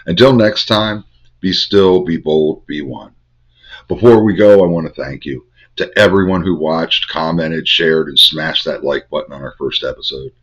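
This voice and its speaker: An older man with a head cold, hosting a Bible study and teaching in measured, incantatory short phrases. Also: extremely low pitch, a bit bright, slightly rough. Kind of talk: spiritual teaching monologue. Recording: dull lo-fi recording.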